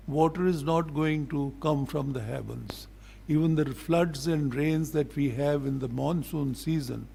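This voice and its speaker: An older man with a Pakistani accent speaks as a diplomat in a formal public address, in a deliberate, blunt, admonishing manner. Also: a little high, gravelly, dark tone, breathy edge.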